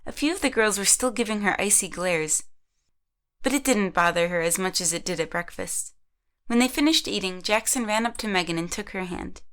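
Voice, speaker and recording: A woman's voice: mid-range voice, brisk, a bit bright. A woman narrates a scripted audio drama. Her voice sits in the middle range, and she tells the story as a third-person narrator.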